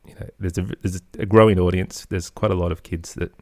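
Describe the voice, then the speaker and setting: Low, gravelly middle-aged man in conversation. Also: steady tone, brisk, a little mellow, no echo, clean studio sound. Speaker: interview guest, podcaster and musician. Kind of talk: conversational interview answers.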